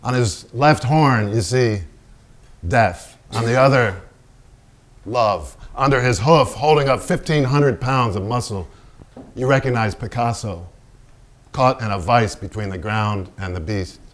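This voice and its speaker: A man acting in a staged reading as a solemn narrator and interviewer, with formal, deliberate, pausing phrases. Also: mid-range voice, lightly acted. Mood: ominous, dramatic. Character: measured, solemn male narrator and polite interviewer. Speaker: stage actor.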